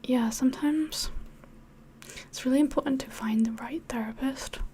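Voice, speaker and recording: A woman with a slightly mixed English accent whispers softly in a rambling, hesitant way, as if hosting an ASMR livestream chat. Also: high-pitched, moderate pace, slight background noise. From England. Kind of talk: soft-spoken ASMR chat, mostly whispered.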